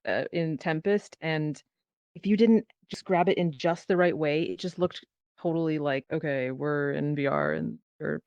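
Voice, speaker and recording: Woman chatting on a panel, informal and animated, bit lo-fi, no room echo. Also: deep voice, normal speed. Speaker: panelist, VR theatre performer and show host. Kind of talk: casual panel discussion. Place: online VR voice chat. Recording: dull lo-fi voice chat audio.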